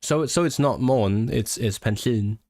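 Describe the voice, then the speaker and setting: A young man from Hong Kong with a mixed British English accent, hosting a relaxed language livestream and answering viewers with lots of hesitant restarts. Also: mid-range voice, unhurried, no echo, studio quality. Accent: British English mix. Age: young adult. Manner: hesitant, many restarts and fillers, self-deprecating. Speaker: language channel host; language learner and student. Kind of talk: casual livestream chat reading viewer comments.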